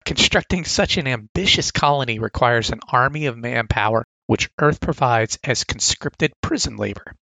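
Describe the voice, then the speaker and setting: A man's voice: medium pitch, moderate pace, gravelly, hardly any pauses, sharp tone, no room sound, low-quality recording. A man with a sore throat casually reviewing comic catalog picks, reading blurbs aloud with enthusiastic asides.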